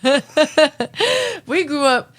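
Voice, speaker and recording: Blunt, animated young woman. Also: high voice, drawn-out words. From Cambodian-American family. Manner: blunt, animated, swears freely. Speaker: podcast co-host. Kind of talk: casual chat.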